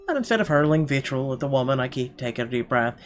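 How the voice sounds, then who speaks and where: A man's voice: mid-range voice, animated, fast, soft background music. A man reading first-person narration from a visual novel aloud in a dramatic storytelling style.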